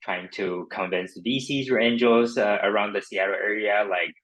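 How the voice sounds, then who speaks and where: A man's voice: on the low side, fairly even intonation, moderate pace, a bit bright. A man from Taiwan with a Taiwanese accent chats casually as an interview guest, hesitant and leaning on filler phrases like "you know". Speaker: crypto startup founder and podcast guest.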